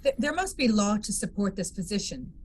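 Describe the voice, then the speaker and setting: A woman's voice: mid-range voice, very animated, a little fast, natural voice. A woman playing a firm, by-the-book military legal officer in a table read, formal and authoritative, with some background noise. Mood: considering. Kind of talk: amateur table read of a sci-fi script.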